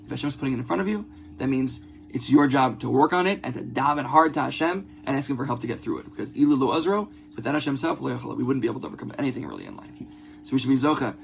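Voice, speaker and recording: A man's voice: mid-range voice, expressive, racing, vocal fry, a little hiss or hum. A man teaching an informal religious lesson in an earnest, conversational way, repeating phrases and checking in with listeners.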